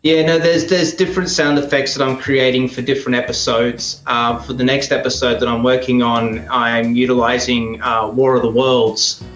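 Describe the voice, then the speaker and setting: A man's voice: mid-range voice, level tone, moderate pace, few pauses, a bit bright, reverberant, faint music behind, low-quality recording. A man being interviewed as a sound effects maker, casually and hesitantly explaining and demonstrating how he works. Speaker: radio drama maker and sound effects artist, interview guest.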